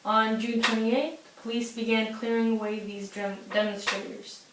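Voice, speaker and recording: A woman's voice: a little high, little pitch movement, moderate pace. A young woman reading scripted news as an anchor. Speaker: news anchor in an amateur newscast. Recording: roomy echo with faint hiss.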